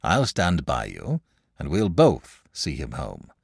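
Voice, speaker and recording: Gallant storybook saint, read by a man: kind, firm, brisk, animated, slightly creaky. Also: very deep voice, no room sound, studio quality, voice pushed down, full character voice. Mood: kindly. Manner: reassuring but brisk and firm. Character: kindly, firm, gallant male saint-knight. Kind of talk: storybook character voice.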